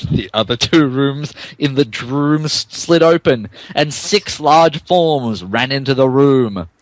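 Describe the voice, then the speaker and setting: A man's voice: mid-range voice, expressive, takes it slowly, no room sound. A man giving a dramatic comic reading of fan fiction as a podcast narrator, amused and tripping over the text.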